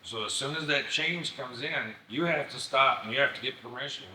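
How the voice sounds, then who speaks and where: A man's voice: medium pitch, moderate pace, bright, forceful tone. A man, a township official, explaining permit rules firmly at a public meeting. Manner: firm, explanatory. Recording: echoey hall, faint noise.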